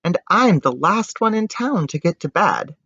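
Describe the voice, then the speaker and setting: A man's voice: high-pitched, very animated, moderate pace, bright, forceful tone, breathy edge, pitched above the performer's own voice, exaggerated voice. A man voicing an overworked young baker's daughter in a picture book, pleading and emphatic. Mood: fed-up pleading. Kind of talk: children's picture-book character voice. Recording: dull, roomy home recording.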